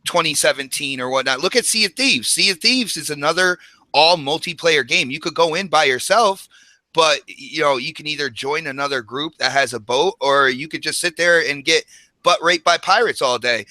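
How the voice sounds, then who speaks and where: A man co-hosts a casual gaming podcast in a very sharp, bright voice pitched somewhat high. He rambles emphatically in slang, and the sound is close and dry. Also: normal speed, dry recording. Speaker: podcast co-host.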